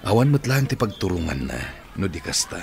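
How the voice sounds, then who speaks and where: A man's voice: low-pitched, sing-song, a little fast. A middle-aged man in a radio drama playing an earnest, persuasive man who is courting a woman.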